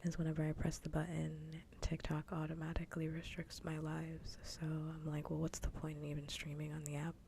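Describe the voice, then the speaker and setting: A woman streamer chatting casually in a soft, breathy whisper, rambling along in ASMR style on a livestream. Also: low-pitched, monotone, a little fast, few pauses, slight background noise. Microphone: close ASMR microphone.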